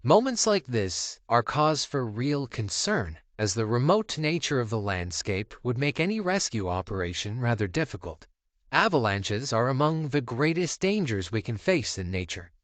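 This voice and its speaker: A man reading scripted documentary-style narration for a countdown video, with measured, dramatic phrasing. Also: medium pitch, big pitch swings, fairly bright tone, no echo.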